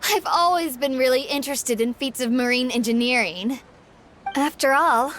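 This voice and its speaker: A woman's voice: on the high side, a little hiss or hum, natural voice. A woman voicing an earnest, eager young woman who loves ship engineering, in a video game.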